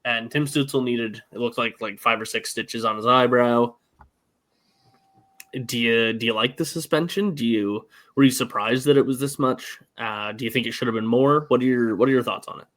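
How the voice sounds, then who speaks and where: Mid-pitched man leading a hockey chat. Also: normal speed, fairly bright tone. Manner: leads the discussion, laying out the facts before asking questions. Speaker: co-host, hockey writer. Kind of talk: casual sports podcast chat.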